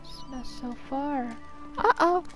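A woman's voice: sits high, takes it slowly. A woman hosting a gaming video, casually commenting on her play in short remarks to herself. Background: game music and sounds.

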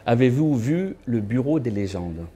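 Man, 30s, guest speaker, warm soft tone, chatty lecture style, joking asides, indoor room, distant camera. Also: mid-range voice, animated, measured pace, a little hiss or hum. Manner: conversational, with joking asides to the audience. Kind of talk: lecture to a small audience.

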